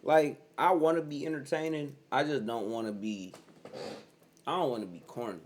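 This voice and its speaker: A man's voice: mid-range voice, fairly even intonation, normal speed. A man in his early thirties giving blunt, profane reaction commentary as host, keeps saying "bro". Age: 30s.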